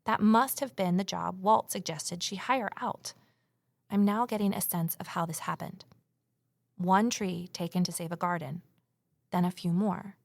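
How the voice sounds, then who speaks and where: Creaky-voiced anxious woman sleuth. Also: lowish voice, steady tone, a little slow, dry recording. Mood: brooding, anxious. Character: Evelyn, anxious, determined amateur sleuth woman. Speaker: lead character and narrator. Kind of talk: naturalistic audio drama dialogue and narration.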